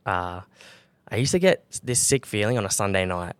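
A young man, a brand co-founder, talking calmly as a podcast guest, with lots of fillers and restarts. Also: fairly low pitch, normal speed, smooth, no echo. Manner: calm, relaxed, with many fillers and restarts. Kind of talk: casual podcast interview.

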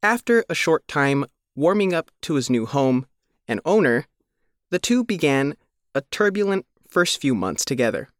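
A man reading a scripted news feature as its reporter, in measured, deliberate short phrases. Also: a little high, very animated, dry recording, clean studio sound. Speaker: reporter reading his own written feature.

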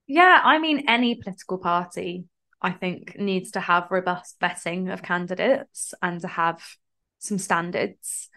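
A woman's voice: lowish voice, unhurried, bright, forceful tone. A British woman talking as a podcast guest and campaigner, informal and earnest in a conversational political discussion.

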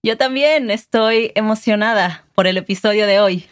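Una mujer mexicana con acento mexicano, co-presentadora de un podcast, que guía la conversación con preguntas amables. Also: female voice, mid-range voice, normal speed. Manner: friendly, guiding the interview with questions. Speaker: podcast co-host. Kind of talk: casual chat.

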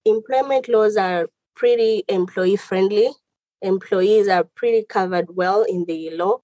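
Mellow-voiced Tanzanian woman lawyer. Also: medium pitch, dry recording, poor audio. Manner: measured, explanatory, lists items. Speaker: lawyer, guest. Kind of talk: podcast interview answers.

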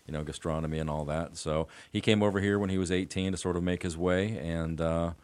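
Very deep-voiced middle-aged male guest. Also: brisk, no room sound. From United States. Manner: relaxed, rambling storytelling with fillers. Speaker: attorney and guest. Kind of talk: casual interview chat.

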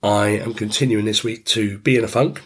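Middle-aged male podcast host, croaky with a cold, lowish and husky, relaxed rambling delivery. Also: normal speed. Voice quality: deep and croaky. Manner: easygoing, rambling, frequent "you know". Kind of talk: casual reflective monologue.

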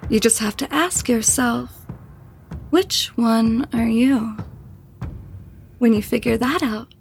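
A sinister, seductive temptress, speaks in a creaky, drawn-out voice. She coaxes deliberately in horror audio drama style, with music underneath. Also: on the high side. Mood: menacing, coaxing. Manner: slow, deliberate, coaxing. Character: sinister, seductive female tempter promising dark power.

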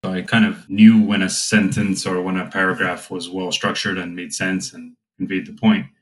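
Low, flat-voiced man in easy chat. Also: moderate pace. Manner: reflective, with frequent fillers. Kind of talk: casual conversation.

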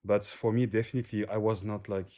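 A man's voice: fairly low pitch, level tone, quick pace, warm tone. A young man from the French-speaking part of Switzerland with a French accent, a guest in casual interview talk who sometimes halts to search for English words. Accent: Swiss French.

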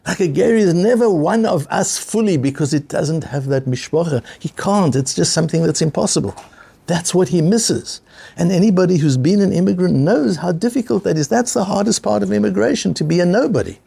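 A man's gravelly, husky voice gives a Talmud lesson. He speaks earnestly, repeating phrases for emphasis, and slips Hebrew and Yiddish terms into his sentences. The voice sits a little high for a man, with a dark, mellow tone. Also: expressive, a little fast. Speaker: rabbi teaching a Talmud class. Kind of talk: Talmud class lecture.

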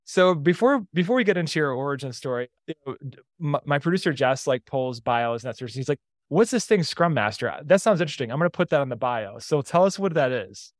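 A man's voice: on the high side, expressive, quick pace, no room sound. Upbeat male podcast host, dry sound. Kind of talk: podcast interview.

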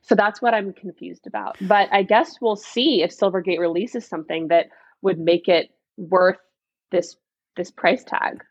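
A woman's voice: mid-range voice, fairly even intonation, a little slow, breathy edge, no room sound, highs cut off. A young woman co-hosting a podcast, chatting casually, laughing often and asking curious questions. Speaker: podcast co-host.

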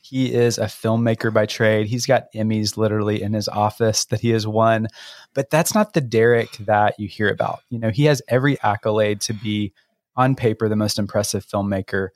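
A man's voice: lowish voice, steady tone, normal speed, no echo, studio quality. A man with an American accent co-hosting a podcast interview, talking informally and effusively. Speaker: podcast co-host.

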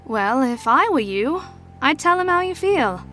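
A woman playing a shrewd, teasing adult mentor to troubled teens in an audio drama, with a measured, knowing, probing delivery. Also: high-pitched, sing-song, a bit bright, soft background music, heavily acted. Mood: knowing, confident.

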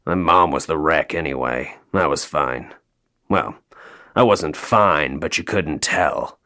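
An actor playing a jaded, sardonic male elf toymaker in an audio play, deadpan, dry and downbeat. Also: rumbling low, takes it slowly, full character voice. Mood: bitter, wounded.

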